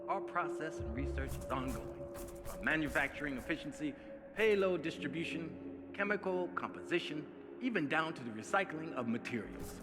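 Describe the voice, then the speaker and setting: A man's voice: on the high side, expressive, a little fast, natural voice. A male actor playing a grand, idealistic corporate spokesman in a video game, giving a reassuring, oratorical promotional speech with music playing over it. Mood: reassuring, persuasive. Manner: oratorical, rhetorical, inspirational.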